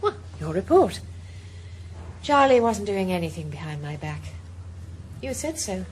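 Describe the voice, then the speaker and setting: A sharp-tongued widow who hires a detective in a radio play. She speaks in a cool, clipped, guarded way, and her pitch is lively. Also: a little slow, slight background noise. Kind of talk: 1980s radio drama acting.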